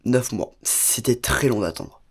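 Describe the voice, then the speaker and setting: A man's voice: mid-range voice, animated, unhurried, creaky, breathy edge. A man from Bordeaux with a cold, showing off his home studio in a chatty, enthusiastic vlog full of fillers and asides. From Bordeaux, France. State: has a cold. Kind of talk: casual vlog tour. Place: small home studio room.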